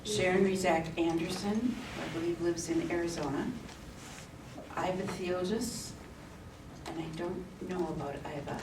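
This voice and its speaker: A woman reading a list of names at a community meeting in a slow, halting way, stopping to add short remarks. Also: lowish voice, far from the mic. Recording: echoey room audio recorded from afar, with noise.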